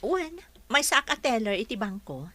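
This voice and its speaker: A woman's voice: on the high side, very animated, normal speed, fairly bright tone. An actress playing a firm, doubtful young working woman in a radio drama, with a Filipino accent. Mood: conversational.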